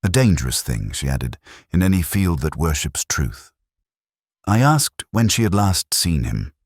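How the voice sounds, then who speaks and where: Guy acting as a moody bookish detective telling his case, super deep voice, slow-burn melancholy, dry close studio sound. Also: sing-song, no echo, exaggerated voice. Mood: reflective, sombre. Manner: measured, reflective, melancholic. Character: brooding, literary male police inspector narrating his case. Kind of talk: scripted first-person detective narration, audio drama.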